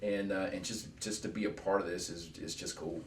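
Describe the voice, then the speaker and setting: A man, a football coach talking casually in an interview, relaxed and rambling, often repeating words as he thinks, at home. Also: low-pitched, brisk, echoey room, distant mic, slight background noise. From Texas. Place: living room at home.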